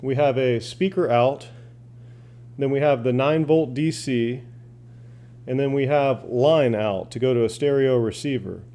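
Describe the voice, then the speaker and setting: Mellow-voiced man, casual and folksy. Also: mid-range voice, unhurried. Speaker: shortwave radio hobbyist asking viewers for advice. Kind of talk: casual unscripted demonstration and chat.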